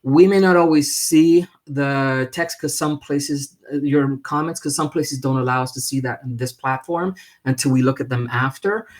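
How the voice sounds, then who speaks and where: A man with a mid-pitched voice hosts a casual livestream. He talks off the cuff in a friendly, halting way, feeling his way through announcements. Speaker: live stream host. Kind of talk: casual unscripted livestream talk.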